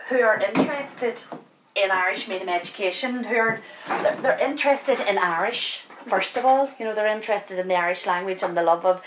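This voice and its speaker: A woman, explaining how a community school works in an interview, with halting restarts. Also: medium pitch, noisy background. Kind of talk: documentary interview.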